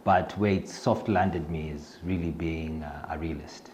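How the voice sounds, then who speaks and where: A man's voice: rumbling low, unhurried, darkish tone, slight background noise. A middle-aged Kenyan man with a Kenyan accent, introducing himself in an interview, hesitant with many fillers and restarts. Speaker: fintech executive.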